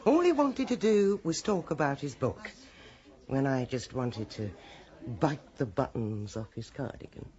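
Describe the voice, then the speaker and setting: A woman's voice: very deep voice, lively intonation, unhurried, warm tone, muffled recording. An actress playing a catty, flirtatious village woman in a theatrical radio drama manner. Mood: catty, suggestive.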